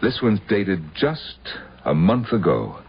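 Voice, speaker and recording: A brooding widower in a radio drama. His very deep voice creaks and swings widely in pitch as he hesitantly recalls the past. The sound is dull and old. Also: very animated, takes it slowly, exaggerated voice. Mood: subdued, troubled. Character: troubled, brooding widower recounting his dead wife's past. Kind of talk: radio drama acting and first-person narration. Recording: dull, hissy radio broadcast recording.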